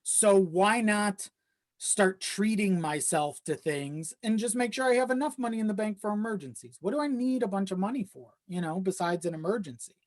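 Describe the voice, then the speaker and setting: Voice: medium pitch, lively intonation, normal speed. A young adult talking casually and candidly in a rambling way, with casual swearing. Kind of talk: casual chat. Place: home office in a small self-built shed. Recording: slightly echoey unpadded shed.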